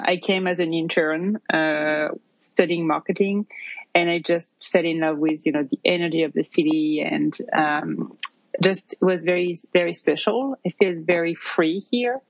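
A woman's voice: fairly low pitch, steady tone, dry recording. French-accented woman, muffled call audio. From France. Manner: halting, many fillers and restarts. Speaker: interview guest. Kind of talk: casual interview.

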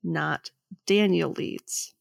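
A woman in her thirties with a raspy, gravelly voice co-hosts a casual podcast. She reads her notes in a relaxed way, close on a dry mic. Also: on the low side, extremely slow, no room sound. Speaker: podcast co-host.

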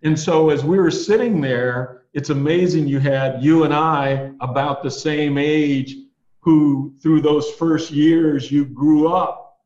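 Older male attorney guest, measured storyteller, muffled and echoey sound. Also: fairly high pitch, fairly even intonation, a little mellow. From USA, Ohio and Alabama. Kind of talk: reflective storytelling interview. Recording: dull, roomy recording.

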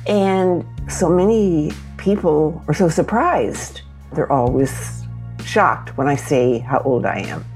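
Low, slow American woman in her eighties. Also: animated, a little mellow, faint music behind. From Kansas City, United States. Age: 80s. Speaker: interviewee telling own story. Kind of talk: personal storytelling.

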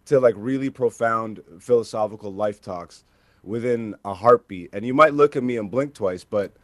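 A man, a comedian talking casually as an interview guest and telling stories in animated, informal language. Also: lowish voice, moderate pace, no room sound, studio quality. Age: 30s. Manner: informal, peppered with like and you know.

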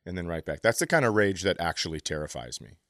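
A man's voice: sits low, very animated, fast talker, fairly bright tone, no echo, studio quality. A man bantering casually as a comedy podcast co-host, teasing and blunt, with sardonic, sweary delivery.

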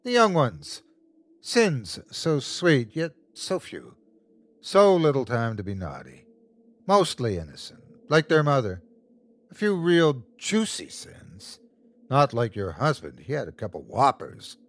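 A man's voice: medium pitch, huge pitch swings, exaggerated voice. A man voicing a sadistic, taunting creature in a horror story, savoring every cruel word in a mocking, gloating way. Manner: gloating, drawn-out mocking delivery. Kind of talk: horror audiobook character voice.

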